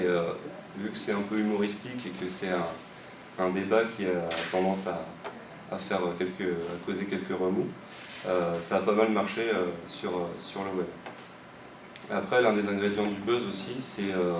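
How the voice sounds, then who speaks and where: A man's voice: deep voice, steady tone, normal speed. A young man from western France explaining, hesitantly and with many fillers, how he built a website. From Maine-et-Loire, France. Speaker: website creator interviewed. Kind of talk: casual spoken explanation. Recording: echoey room, mic far off, noisy.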